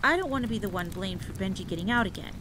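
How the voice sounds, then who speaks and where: A woman's voice: high-pitched, big pitch swings, moderate pace, background noise, pitched above the performer's own voice. A woman voicing a stubborn, eager little sister in an audio drama, with a whiny, insistent manner. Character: stubborn, pleading little sister, eager young farm girl. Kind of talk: acted dialogue in audio drama. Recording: clear rain and thunder ambience behind voice. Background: rain and rolling thunder.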